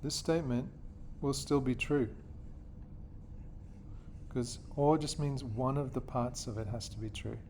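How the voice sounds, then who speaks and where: A man's voice: mid-range voice, steady tone, measured pace, pauses often, a little mellow, a little hiss or hum. A man from Australia teaching a geometry class, explaining step by step and checking in with his students often. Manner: patient, checks in with "okay?" and "yeah?".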